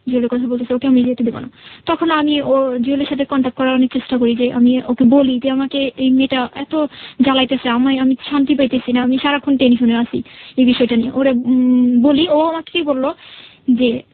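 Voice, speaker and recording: A woman's voice: sits high, steady tone, fairly quick, narrow, tinny audio. A young woman with a Bangladeshi accent, a guest telling her personal story conversationally and quoting reported dialogue.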